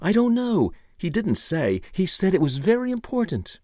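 An embarrassed, anxious gentleman as a male narrator plays him in an audiobook. His pitch sits high and jumps about as he hesitates and over-explains himself. The sound is dry and close. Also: huge pitch swings, normal speed, no echo. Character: flustered, embarrassed, nervously babbling gentleman. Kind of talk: audiobook character voice.

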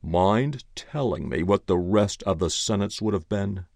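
Man reading a low, wry, laconic investigator. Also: expressive, unhurried, gravelly, a little mellow, no room sound, studio quality. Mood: probing. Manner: terse, mildly complaining, wry. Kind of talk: audiobook character voice.

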